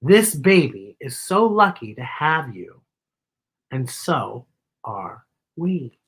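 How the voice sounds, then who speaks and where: Tender storybook mom , played by a read-aloud host, husky and lowered, slow reassurance. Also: very animated, fairly bright tone, heavily acted. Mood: tender, reassuring. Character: gentle, reassuring, loving mother of a young boy.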